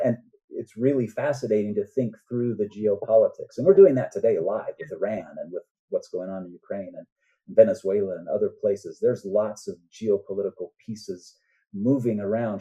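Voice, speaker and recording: A man's voice: fairly low pitch, mellow, husky, poor audio. An older man talking as a guest author in an interview, explaining his thoughts earnestly in a conversational way.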